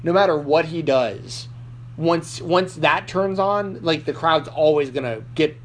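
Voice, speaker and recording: A man's voice: fairly high pitch, a little slow, slight background noise. A man hosting a casual wrestling podcast, rambling with frequent restarts and fillers. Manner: rambling, lots of likes and restarts. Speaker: podcast host. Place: Nashville.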